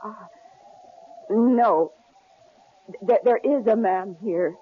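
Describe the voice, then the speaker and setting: An actress playing a frightened young wife in an old radio drama, talking in a breathless, pleading, tremulous way. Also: female voice, mid-range voice, very slow, slightly rough. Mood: anxious. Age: young adult. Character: frightened young wife trapped in a snowbound cabin. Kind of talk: 1950s-70s radio drama acting. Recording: tinny, lo-fi old radio recording with faint hiss.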